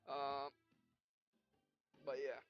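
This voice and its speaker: A woman hosting a let's play, reading game dialogue aloud and commenting in a halting way, often repeating words. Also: very deep voice, completely flat delivery, dull audio. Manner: halting, repeats words, reads punctuation and speaker names aloud. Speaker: gaming video host. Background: game music.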